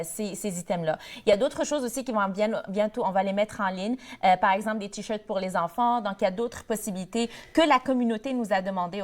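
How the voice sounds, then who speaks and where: A young woman from Morocco, guest on a community TV interview, talks at a middle pitch. She pauses often on fillers and restarts her sentences as she explains her work. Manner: hesitant, many fillers and restarts. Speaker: guest, board treasurer of a community association. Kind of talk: TV interview, casual conversation.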